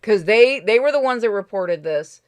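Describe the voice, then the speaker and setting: A casual game streamer with a mid-range voice. The talk is blunt and sweary, working through decisions out loud. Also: medium pitch, animated, moderate pace, clear, resonant tone. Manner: blunt, deliberating aloud, sweary. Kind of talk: casual gameplay commentary.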